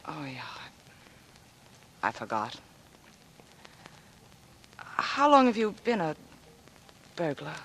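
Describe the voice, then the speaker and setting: A woman's voice: deep voice, sing-song, slow pace, pauses often, a bit bright, slight background noise, full character voice, poor audio. A wistful young woman in a vintage radio drama. She is world-weary and homesick, speaking with tender, earnest urgency and a pleading edge. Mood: wistful, subdued. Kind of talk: 1940s radio acting.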